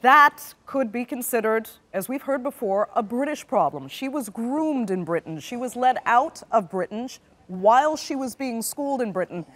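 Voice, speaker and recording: A woman in her thirties hosting a TV news discussion in a studio, putting probing, measured questions to her guests. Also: a little high, lively intonation, a bit bright. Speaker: news show host. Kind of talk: TV news interview.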